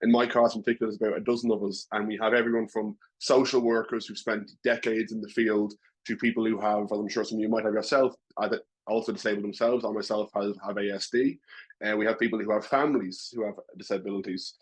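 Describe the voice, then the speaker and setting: A man's voice: on the low side, flat delivery, fast, husky, low-quality recording. A young man with a Dublin Irish accent, speaking earnestly as a current student in a webinar. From Ireland. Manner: earnest, wordy, self-deprecating. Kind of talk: webinar speech.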